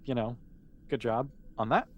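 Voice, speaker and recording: A man's voice: mid-range voice, normal speed, dull audio. A man running a tabletop game as game master, explaining things in a patient, conversational, improvised way. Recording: clearly noisy background.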